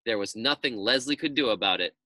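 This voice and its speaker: A man narrating a children's story aloud in an expressive storytelling style. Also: on the low side, brisk, dry recording.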